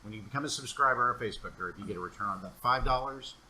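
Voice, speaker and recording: A man in his forties talks quickly with a very bright, forceful tone, co-hosting an informal sales podcast. He sounds upbeat and persuasive as he pitches and coaches. A faint hiss of noise sits under the voice. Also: poor audio. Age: 40s. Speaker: podcast co-host. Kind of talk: casual sales podcast chat.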